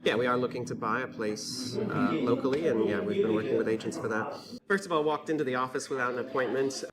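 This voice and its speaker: A man's voice: medium pitch, quick pace, a loud crowd. A man from California with an American accent, chatting casually as an interview guest in a hesitant, understated way. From California, United States. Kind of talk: casual chat.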